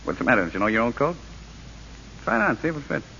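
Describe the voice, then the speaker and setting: An actor playing a breezy, wisecracking gangster with slangy, nonchalant delivery in a 1940s radio drama. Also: male voice, medium pitch, sing-song, fast talker, vocal fry, pushed and bright, heavily acted. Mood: flippant, needling. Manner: slangy, nonchalant tough-guy talk. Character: breezy, wisecracking male gangster, loyal but unrepentant. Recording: hissy, dull old radio broadcast.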